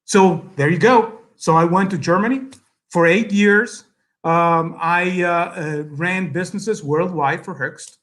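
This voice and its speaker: A middle-aged man from El Salvador with a Salvadoran Spanish accent, a native Spanish speaker giving an earnest speech at an event, with many pauses and fillers. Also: high-pitched, a bit bright. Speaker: guest speaker.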